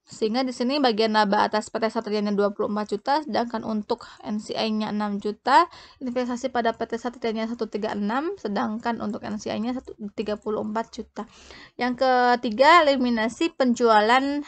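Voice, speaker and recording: A woman's voice: fairly high pitch, fairly even intonation. A young woman giving an explanatory tutorial, often breaking off and restarting as she goes. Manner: halting, frequently breaking off and restarting. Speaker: accounting tutor presenting.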